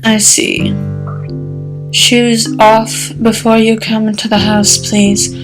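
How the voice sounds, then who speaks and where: A woman's voice: on the high side, monotone, slow. A woman playing a cold, snobbish middle-aged mother in an audio drama, talking in a curt, condescending way. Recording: music playing behind.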